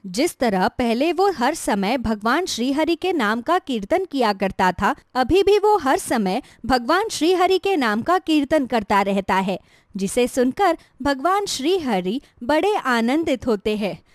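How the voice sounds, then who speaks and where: A woman's voice: high-pitched, very animated, measured pace, no echo, clean studio sound. A woman reading a scripted devotional tale as a storyteller for a cartoon. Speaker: storyteller, narrator.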